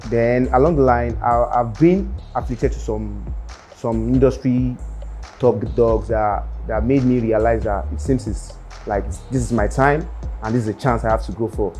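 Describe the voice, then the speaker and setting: A young Nigerian man talking about his rap career in an interview, unhurried and full of fillers and restarts. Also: medium pitch, a little mellow, faint music behind. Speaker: rapper interviewee. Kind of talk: casual interview monologue.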